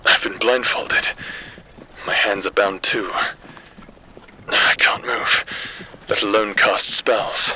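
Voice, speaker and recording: A man playing a proud, analytical captive mage in an audio drama, speaking in a measured, formal, brooding monologue. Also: fairly low pitch, fairly even intonation, bright, forceful tone, a little hiss or hum, heavily acted, poor audio.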